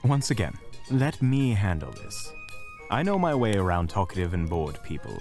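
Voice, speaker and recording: Man doing smug sardonic know-it-all, really deep voice, swoopy pitch, dry. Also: sing-song, moderate pace, a little mellow, faint music behind. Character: smug, sardonic, knowledgeable male companion. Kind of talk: audio roleplay drama acting.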